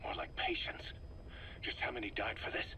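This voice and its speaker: An actor playing a hard, bitter military interrogator in a video game, curt and distrustful. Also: male voice, fairly low pitch, steady tone, normal speed, bright, forceful tone, in a whisper. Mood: bitter, accusing. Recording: noisy cassette tape recording.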